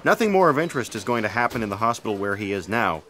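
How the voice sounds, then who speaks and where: A man's voice: lowish voice, sing-song, rapid-fire, a bit bright, soft background music. A man playing a deferential, officious aide reporting to his boss in an audio comedy drama. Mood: dutiful, officious.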